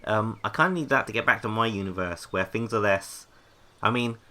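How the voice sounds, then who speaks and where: An actor playing a bewildered, chatty young intern stranded in another universe, rambling and questioning in an audio drama. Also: male voice, on the low side, fairly quick, pushed and bright. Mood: confused.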